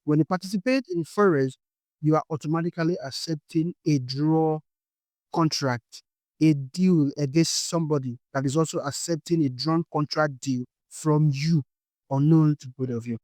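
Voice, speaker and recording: A man's voice: fairly high pitch, moderate pace, darkish tone, dry recording. A Nigerian man with a Nigerian accent, teaching a beginners' course in a step-by-step way and checking in with "okay?" after his points. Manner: explains step by step, tags lines with "okay?". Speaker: forex trading instructor. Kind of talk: explanatory course lesson.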